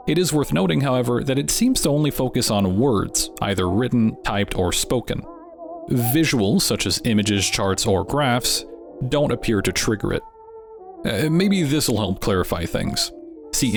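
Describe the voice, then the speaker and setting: A man hosting a channel, giving scripted narration with wry, dryly joking asides. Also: fairly low pitch. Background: background music.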